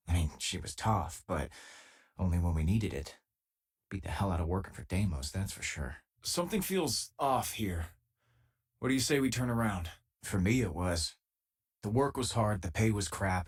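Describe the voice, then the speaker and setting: A man playing a loyal, easygoing former miner and spaceship crewmate in a video game, with a husky, casual, wry delivery. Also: fairly low pitch. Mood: friendly, reflective. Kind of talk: video game companion dialogue.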